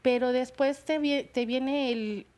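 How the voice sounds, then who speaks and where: A middle-aged woman speaks in a fairly high voice as a talk show guest. She talks in a hesitant, halting way, restarting her sentences. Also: fairly even intonation, darkish tone. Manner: hesitant, many fillers and restarts. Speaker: guest, bilingual secretary. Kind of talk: personal testimony on a talk show.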